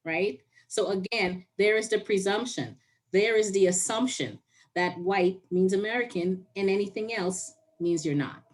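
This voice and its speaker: A woman's voice: medium pitch, a little slow. A woman speaking earnestly and with emphasis in a group discussion on a video call. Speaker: participant.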